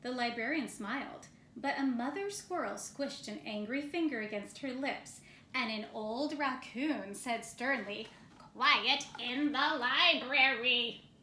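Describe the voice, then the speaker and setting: A woman reads a children's story aloud at an unhurried, slow pace. Her voice is fairly high and bright, recorded in a roomy, echoing space. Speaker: storybook reader. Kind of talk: scripted children's story narration. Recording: roomy echo, faint background noise.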